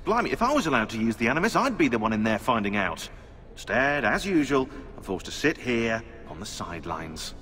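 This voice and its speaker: An actor with a British accent playing a dry, sarcastic, grumbling researcher in a video game cutscene. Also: male voice, mid-range voice, sing-song, a little fast, a bit bright, big-room sound, soft background music.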